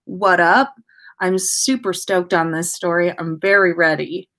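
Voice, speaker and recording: A woman's voice: fairly low pitch, steady tone, unhurried, fairly bright tone. A woman podcast host reading a story aloud as narration for a livestream.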